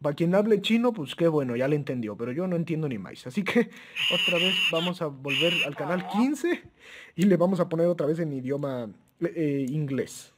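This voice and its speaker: A man's voice: a little high, expressive, normal speed. A man casually demonstrating a handheld radio for viewers, hesitant, with frequent restarts and fillers, chuckling now and then as he talks to them as friends. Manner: chuckles often while talking. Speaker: radio gear reviewer. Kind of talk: casual product demo.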